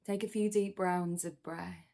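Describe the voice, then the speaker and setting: A woman leading a guided meditation in a soothing, gentle manner, with measured instructions and pauses. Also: fairly low pitch, fairly even intonation, vocal fry.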